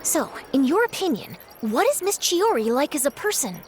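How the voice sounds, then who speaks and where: A woman's voice: high-pitched, very animated, moderate pace, noisy background. A woman playing a polite, keen young female journalist in a video game, earnest and inquisitive. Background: game music.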